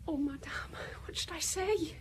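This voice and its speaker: Meek young housemaid, radio mystery: extremely high, slowish delivery, hesitant, apologetic, deferential. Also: female voice, in a whisper. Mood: frightened, tearful. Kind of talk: radio detective drama acting.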